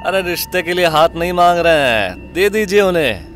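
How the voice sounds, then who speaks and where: A man's voice: high-pitched, lively intonation, normal speed, fairly bright tone, slight background noise. A man playing a cheeky, irreverent, wisecracking sidekick in a horror-comedy audio drama. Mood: teasing. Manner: teasing, wisecracking.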